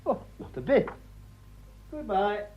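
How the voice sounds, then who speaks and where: An actor with a British accent playing an urbane, avuncular older gentleman with dry irony in a radio drama. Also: high voice, sing-song, slow, slightly rough, exaggerated voice. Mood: reflective. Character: urbane, wry older English gentleman, a deserted husband. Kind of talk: stage comedy radio drama acting. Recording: slightly dull, lo-fi audio.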